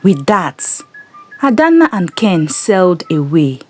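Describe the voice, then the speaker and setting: A woman narrating a scripted folktale in a measured, dramatic storytelling manner. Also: lowish voice, very animated, warm tone, soft background music.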